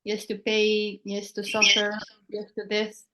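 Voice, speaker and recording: A woman's voice: medium pitch, monotone, a little slow, sharp tone, rough recording. A woman whose first language is Estonian, chatting in English and musing as she searches for words. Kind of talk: casual group video chat.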